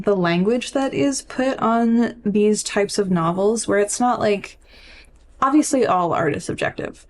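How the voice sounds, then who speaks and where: A woman's voice: medium pitch, slight background noise. A young woman co-hosting a casual podcast, informal and reflective, working out her thoughts as she talks with frequent "like". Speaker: podcast co-host.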